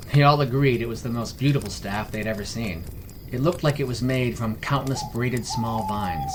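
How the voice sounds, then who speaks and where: A man's voice: medium pitch, background noise. A man reading a scripted fantasy story as its narrator.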